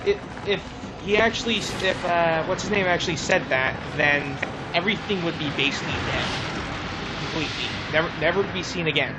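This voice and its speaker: A man's voice: on the high side, fairly quick, heavy background noise. A man co-hosting a let's play, giving casual gameplay commentary and often searching for his words. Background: game music.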